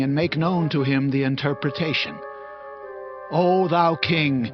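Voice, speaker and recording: Man acting a solemn prophet, higher-pitched, music behind. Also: lightly acted. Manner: solemn, declamatory. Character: grave male prophet rebuking a proud king. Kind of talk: dramatized King James Bible reading. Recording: dull lo-fi audio with music.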